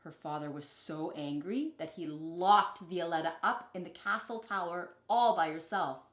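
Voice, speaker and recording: A woman reading a children's picture book aloud in an expressive, deliberate storytelling manner. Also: on the low side. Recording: echoey, roomy sound.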